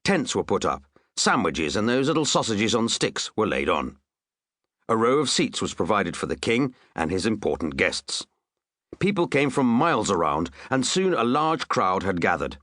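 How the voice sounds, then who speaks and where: A man's voice: lowish voice, very animated, normal speed, fairly bright tone, dry recording. A man narrating a comic children's story with dry, wry asides. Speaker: audiobook narrator.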